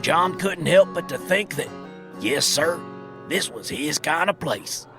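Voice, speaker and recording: A man's voice: a little high, animated, slow. A man playing a wry, folksy American storyteller narrating a comic western audio drama. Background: plucked guitar music.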